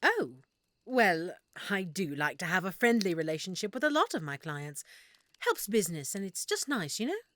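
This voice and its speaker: A woman voicing a shy, flustered half-elf shopkeeper who stammers softly and nervously. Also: mid-range voice, very animated, normal speed. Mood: guarded. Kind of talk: audio roleplay acting.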